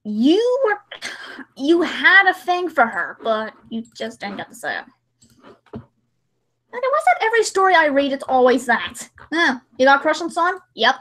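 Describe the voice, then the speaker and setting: High voiced girl streamer, woman rambling casually, acts stuff out. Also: sing-song, normal speed, fairly bright tone. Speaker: livestream host and hobby voice actress. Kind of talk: casual livestream chat.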